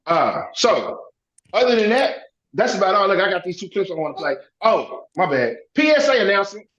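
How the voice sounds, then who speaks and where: A hoarse man hosting reaction commentary, talking in an animated, preachy way. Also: sits high, a little fast, reverberant, lo-fi sound. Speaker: commentary host.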